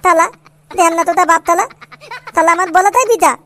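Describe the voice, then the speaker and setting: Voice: extremely high pitch, steady tone, fairly quick, fairly bright tone. A performer voicing a cheeky, foul-mouthed little boy in a comic cartoon, boastful and grumbling with a childish lisp. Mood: indignant complaining.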